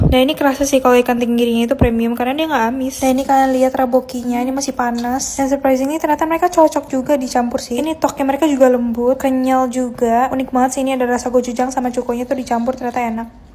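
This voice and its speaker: Female food vlogger, chatty and slangy, very rapid delivery over faint background noise. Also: sits high, warm tone. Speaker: food video host. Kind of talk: casual food review voice-over.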